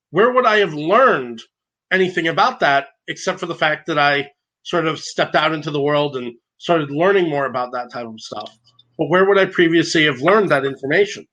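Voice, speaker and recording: A man speaking as a podcast guest, loud and emphatic, talking earnestly about his own experience. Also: fairly high pitch, dull audio. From grew up in a divorced family. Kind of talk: conversational podcast interview.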